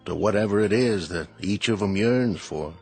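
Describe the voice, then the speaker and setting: An actor playing a young husband in a radio drama, speaking calmly, tenderly and soothingly. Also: male voice, lowish voice, breathy edge, faint music behind. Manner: calm, tender, slow and soothing. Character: gentle young husband, soldier's calm.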